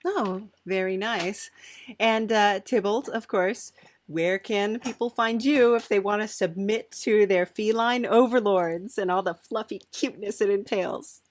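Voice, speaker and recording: A woman's voice: medium pitch, a little slow, no echo. A woman hosting a podcast in a playful, casual style. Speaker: podcast host. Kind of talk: playful character interview and casual sign-off.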